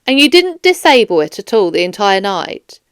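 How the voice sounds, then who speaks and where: A woman's voice: medium pitch, big pitch swings, fairly bright tone, clean tone, no echo, studio quality, pitched below the performer's own voice. A woman voicing a firm, formal police detective questioning a suspect in a children's audiobook. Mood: probing. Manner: formal, measured, stern. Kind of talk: children's audiobook character dialogue.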